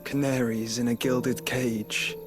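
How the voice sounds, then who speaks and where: A man's voice: mid-range voice, flat delivery, slow pace, music in the background, natural voice. A man playing a weary, ailing, philosophical duke in a game cutscene, speaking in a formal, eloquent way. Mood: solemn, rueful. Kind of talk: dramatic video game cutscene acting.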